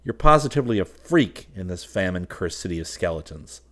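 A man acts out a commanding young gentleman from a storybook. He is low-pitched but loud and emphatic, with a blunt, scolding edge. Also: normal speed, lightly acted.

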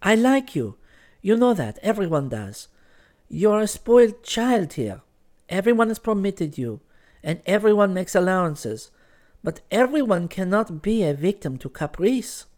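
Storybook part: young Frenchwoman, played by a man, lifted pitch, very lively melody, sharp-tongued and teasing. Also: a little slow. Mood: frank, scolding. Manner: pointed questioning and scolding, sprinkled with French exclamations.

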